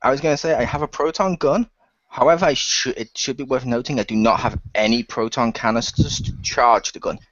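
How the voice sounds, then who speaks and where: A man's voice: mid-range voice, fairly quick, a bit bright, no room sound, close to an everyday voice. Man acting as wisecracking skeptic ghost hunter, sarcastic, improvised radio play, close dry sound, slightly dull recording. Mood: joking. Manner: sarcastic, chatty.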